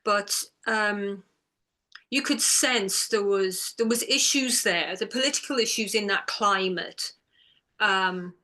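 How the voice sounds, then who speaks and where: A woman with a bright, forward voice talks as an interview guest. She answers thoughtfully, pausing with ums as she gathers her words. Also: mid-range voice. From Ireland. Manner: thoughtful, hesitant, with many ums. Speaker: author and guest.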